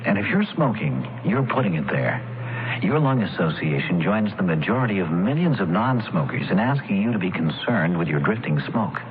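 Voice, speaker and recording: A man's voice: low voice, big pitch swings, normal speed. A man reading a scripted public service announcement. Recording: noisy, phone-like radio broadcast.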